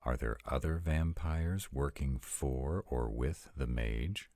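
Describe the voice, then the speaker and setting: A man playing an arrogant, courteous male vampire in an audio roleplay, speaking formally and articulately in a husky, gravelly voice. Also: extremely low pitch, takes it slowly, warm tone, no room sound, heavily acted. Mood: stern, formal. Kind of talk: acted romantic fantasy audio roleplay.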